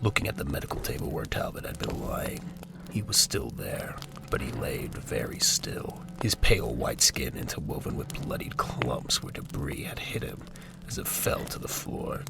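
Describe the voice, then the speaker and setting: A man's voice: a bass voice, very animated, normal speed, vocal fry, in a whisper. A man narrating a scripted audio drama in a dramatic storytelling style. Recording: music bed under the voice.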